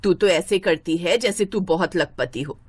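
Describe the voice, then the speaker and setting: A woman's voice: lowish voice, moderate pace, husky, no echo. Woman as a taunting old village mother-in-law. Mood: scolding. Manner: taunting, sarcastic. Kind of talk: acted moral-story dialogue.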